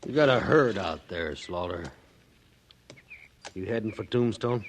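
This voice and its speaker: An actor playing a blustering, menacing Old West sheriff with confrontational, folksy speech in a radio western. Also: male voice, on the low side, animated, a little slow, slight background noise. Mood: threatening. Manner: confrontational, folksy frontier grammar. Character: menacing, crooked, blustering male frontier sheriff. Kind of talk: 1950s radio western acting. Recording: vintage radio drama recording.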